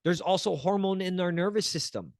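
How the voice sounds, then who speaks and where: A man's voice: sits high, brisk, dry recording, clean studio sound. A man teaching as a wellness coach, earnest and encouraging, often checking in with the listener and restarting phrases as he searches for words. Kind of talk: teaching talk to camera.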